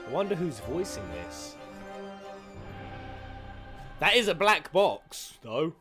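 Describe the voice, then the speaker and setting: A man's voice: a little high, very animated, slow, pushed and bright. A man giving casual, slangy live reaction commentary as a streamer, musing aloud as he watches game cutscenes. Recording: game music behind the voice.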